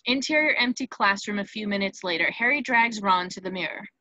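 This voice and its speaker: A woman's voice: medium pitch, a little fast, pushed and bright, no echo, poor audio. A woman narrating a screenplay, reading out scene headings and stage directions.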